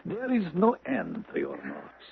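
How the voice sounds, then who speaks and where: Tyrannical music master, gravelly, unhurried, grandiose, volatile, theatrical, old radio play, narrow muffled sound. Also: male voice, high voice, huge pitch swings, slight background noise, full character voice. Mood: flattering. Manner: theatrical, speaks of himself in third person. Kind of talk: 1970s radio drama acting. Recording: muffled vintage radio broadcast.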